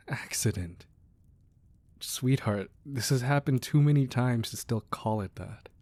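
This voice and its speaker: A man playing a weary, menacing crime boss with a tender side, in a controlled, deliberate ASMR roleplay delivery. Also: mid-range voice, darkish tone, no room sound. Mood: gentle, weary. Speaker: audio roleplay voice actor. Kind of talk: ASMR roleplay acting, close and dramatic.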